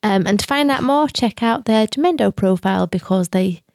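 A woman's voice: medium pitch, animated, normal speed, darkish tone, no echo, clean studio sound. A woman in her late thirties co-hosting a podcast, casual and teasing in banter. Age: 30s. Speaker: podcast co-host. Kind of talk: casual chat.

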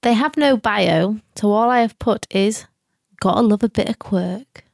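A woman's voice: mid-range voice, a little slow, gravelly, darkish tone, dry recording, studio quality. Teasing woman in her late thirties. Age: 30s. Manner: teasing, bantering. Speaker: podcast co-host. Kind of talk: casual chat.

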